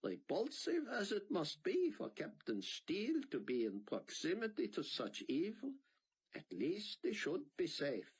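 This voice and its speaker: A man voicing a gentle, devout older scholar with an Israeli accent, speaking formally. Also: sits high, huge pitch swings, unhurried, husky, voice pushed up, full character voice. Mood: earnest. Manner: formal, earnest speech.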